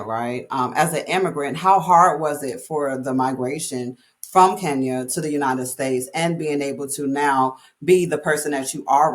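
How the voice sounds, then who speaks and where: Lively woman co-host hyping her guest. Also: low voice. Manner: lively hype, playful slang. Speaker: podcast co-host. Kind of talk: casual interview.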